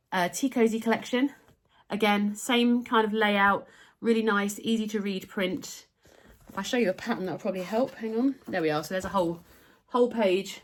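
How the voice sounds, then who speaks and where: A woman hosting her own crochet channel, talking straight to camera at home in a casual, enthusiastic way. Her voice is slightly nasal from a cold she is still getting over. She chats easily and shows things to the viewer while she talks. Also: medium pitch. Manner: chatty, enthusiastic, showing items to camera. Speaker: crochet designer and channel host.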